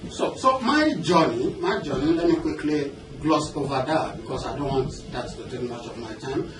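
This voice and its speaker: A man's voice: fairly high pitch, animated, moderate pace. A man with a Nigerian accent giving a motivational seminar talk as a business coach, conversational and often checking in with questions. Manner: conversational, engaging the audience with questions and check-ins. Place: indoor seminar room with an audience. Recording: roomy, noisy seminar hall, distant mic.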